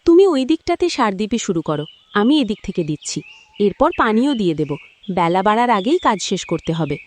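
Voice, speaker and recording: An actress playing a busy, practical farmer's wife in a cartoon. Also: female voice, mid-range voice, sing-song, darkish tone, slight background noise, close to an everyday voice. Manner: brisk, practical. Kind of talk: cartoon voice acting.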